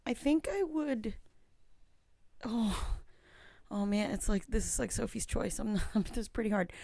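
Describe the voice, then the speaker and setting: Woman, guest, mid pitch, playful, thinking aloud, dry close sound. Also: animated, unhurried, gravelly, mellow, no echo. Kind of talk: casual podcast banter.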